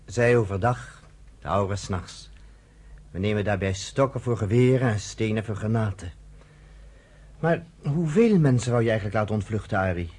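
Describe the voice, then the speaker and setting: A man's voice: on the low side, expressive, moderate pace, a little mellow, breathy edge. A man playing an earnest young camp resistance organizer in his twenties, matter-of-fact, in a radio play. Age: 20s.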